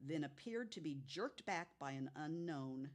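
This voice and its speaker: A woman's voice: low-pitched, expressive, measured pace. A woman telling stories of unexplained cases in a casual way, as a guest on a podcast.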